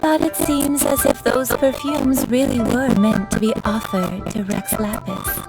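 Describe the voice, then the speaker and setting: A woman's voice: a little high, fairly even intonation, measured pace, lightly acted, poor audio. A woman voicing a languid, coquettish, lovelorn lady in a fantasy video game, musing theatrically. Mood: wry, wistful. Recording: loud game music behind the voice.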